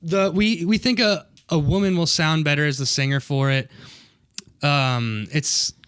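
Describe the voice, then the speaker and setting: Young man with a clear, dry voice. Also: a little slow, a bit bright, no echo. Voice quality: fairly high voice for a man. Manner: loose, profane, rambling. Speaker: musician guest on podcast. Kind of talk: casual chat.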